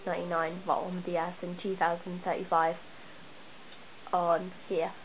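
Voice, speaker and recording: A young woman with a stuffy cold, chatting and rambling excitedly as she shows off her game town at home. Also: fairly low pitch, normal speed. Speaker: gamer showing her town. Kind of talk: casual game walkthrough. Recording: noisy home camera recording. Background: steady background noise.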